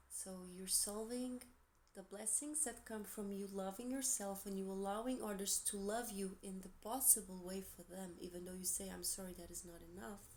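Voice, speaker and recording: Soft-spoken tarot reader with Portuguese accent. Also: female voice, medium pitch, background noise. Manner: gentle, encouraging, with soft asides and self-corrections. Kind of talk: tarot reading monologue.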